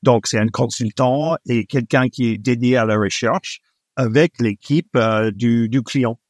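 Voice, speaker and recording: A man's voice: mid-range voice, a little slow, no echo, clean studio sound. Man speaking French with a foreign accent. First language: not French. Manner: frequent hesitations with "euh", warm laughs. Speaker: guest, executive recruiter. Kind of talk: relaxed interview conversation.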